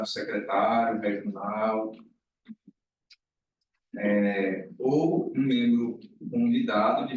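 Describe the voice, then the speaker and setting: A man with a Brazilian accent explains things informally at a meeting, hesitantly, circling back and often adding tag questions. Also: mid-range voice. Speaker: meeting speaker. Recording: echoey room, distant mic, faint noise.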